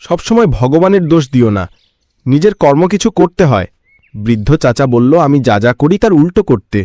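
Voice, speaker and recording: A man's voice: on the high side, sing-song, brisk, warm tone, no room sound. A man voicing a worried, earnest village farmer and husband in a cartoon. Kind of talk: Bengali cartoon voice acting.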